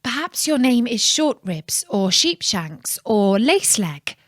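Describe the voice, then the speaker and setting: A woman's voice: fairly high pitch, big pitch swings, takes it slowly, no echo, clean studio sound, exaggerated voice. A woman voicing an anxious young queen in a children's storybook, with a questioning delivery. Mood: hopeful. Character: young queen anxiously guessing a secret name.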